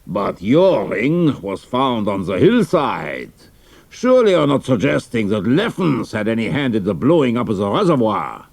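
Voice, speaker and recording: A commanding military count, performed by a male narrator, brusque and used to obedience. His voice has a gravelly grain and swings emphatically in pitch, in the manner of a wartime adventure audiobook. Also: big pitch swings, a little mellow, no room sound, heavily acted. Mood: startled, demanding. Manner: brisk, commanding, officer-like. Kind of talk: dramatised audiobook character dialogue.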